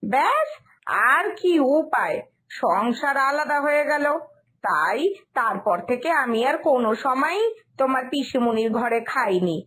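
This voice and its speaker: A fussy older mother-in-law in a cartoon family tale, played by a woman. Her voice is high, and she explains herself in a firm, stubborn way. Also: very animated, a little slow, fairly bright tone, full character voice. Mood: explaining. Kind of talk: cartoon story dialogue.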